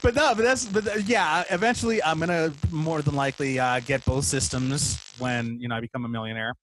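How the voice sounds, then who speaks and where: A man hosting a podcast in a casual, playful chat about video games, animated and stumbling over his words. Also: fairly high pitch, brisk, a little hiss or hum, rough recording. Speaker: podcast host interviewing a guest.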